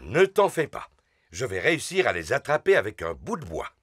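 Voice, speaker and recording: A man's voice: medium pitch, wildly swooping pitch, a little fast, heavy background noise. A man playing an easygoing, genial middle-aged father pig in a children's cartoon. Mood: confident, puzzled. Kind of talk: children's cartoon dialogue, dubbed.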